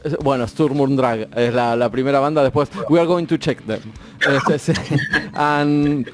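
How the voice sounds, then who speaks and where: A man with an Argentine accent interviewing a band and translating, halting and full of fillers, switching between Spanish and English. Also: medium pitch, fairly even intonation. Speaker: radio co-host and interviewer. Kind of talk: bilingual interviewing with live translation.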